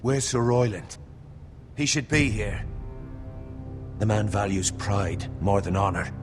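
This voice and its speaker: Composed lordly counselor, low pitch, measured, deferential, formal, fantasy game. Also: male voice, faint music behind. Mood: reassuring. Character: loyal, composed male castle counselor to a young lord.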